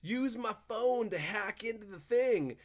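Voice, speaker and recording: A man's voice: high voice, lively intonation, measured pace, pitched above the performer's own voice. A man voicing a frantic, exasperated young female video game character, complaining and exclaiming. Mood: urgent.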